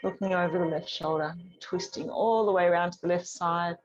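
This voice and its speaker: A woman leading a gentle yoga class as the teacher, guiding with a calm, soothing, gently instructing manner. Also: fairly low pitch, fairly quick, highs cut off.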